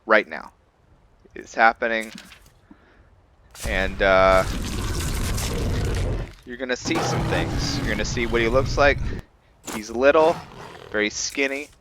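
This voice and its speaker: A man giving casual let's play commentary on a fantasy role-playing game, halting as he thinks aloud. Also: mid-range voice, background noise. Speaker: gaming channel host narrating a playthrough.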